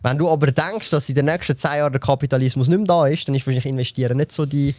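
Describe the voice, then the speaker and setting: A Swiss German man in his thirties explains things in quick bursts, full of fillers and restarts. His pace runs very fast, and the close mic sounds dry, with no room around the voice. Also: mid-range voice, darkish tone, dry recording. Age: 30s. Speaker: podcast guest, investor. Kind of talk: casual podcast chat.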